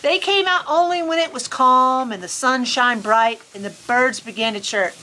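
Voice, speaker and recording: A woman reading a picture book aloud to children in an expressive, folksy, chatty storytime manner. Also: high voice, normal speed, rough recording. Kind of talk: animated children's story reading with casual asides. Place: on a farm among sheep.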